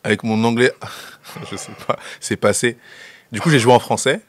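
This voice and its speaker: A young man with a Central African French accent, talking as a guest in a casual interview. His pitch sits in a middling range, and he laughs often and repeats words as he tells his stories. The sound is dry and close, with no room around it. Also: normal speed, no room sound. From Central African Republic. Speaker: film director, guest.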